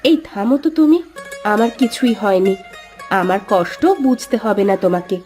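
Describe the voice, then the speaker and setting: A woman's voice: mid-range voice, animated, moderate pace, darkish tone, soft background music, close to an everyday voice. A woman voicing a pampered, touchy, complaining city wife in a dramatised moral story.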